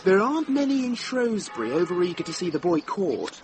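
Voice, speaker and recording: An actor playing an earnest, gossipy monk bringing news in a radio drama, chatty and a little hesitant. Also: male voice, high voice, sing-song, a little fast, music in the background. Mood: earnest, excited. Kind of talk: British radio drama acting.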